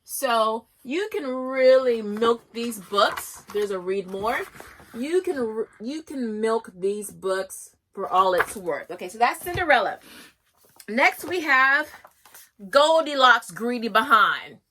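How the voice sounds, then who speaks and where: Bright, chatty woman. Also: a little high, lively intonation, slow pace, a little hiss or hum. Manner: chatty and enthusiastic, with frequent restarts and laughs. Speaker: homeschool book reviewer. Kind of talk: casual book review with read-aloud excerpts.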